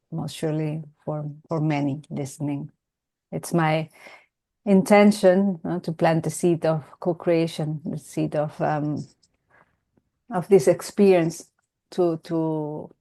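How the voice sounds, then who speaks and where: Slow, mellow-voiced woman host. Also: low voice. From Venezuela. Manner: unhurried, reflective, affirming. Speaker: podcast host. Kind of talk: casual conversation.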